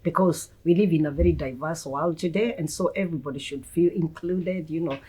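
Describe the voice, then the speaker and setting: Middle-aged councillor, woman, husky low voice, earnest. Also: warm tone. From Kenya. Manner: measured, earnest, with many "you know"s. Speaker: local councillor. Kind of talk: panel discussion.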